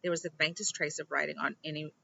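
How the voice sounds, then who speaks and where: A woman reading a children's novel aloud informally, with animated delivery and the odd stumble and aside. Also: low voice, fast, gravelly, dull audio, a little hiss or hum. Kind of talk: expressive amateur read-aloud with asides.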